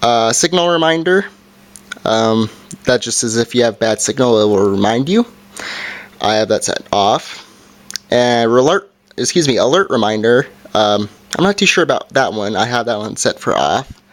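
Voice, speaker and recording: Male reviewer, mid-pitched voice, informal and halting, walking through a gadget's features. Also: animated. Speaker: product reviewer. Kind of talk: casual hands-on review.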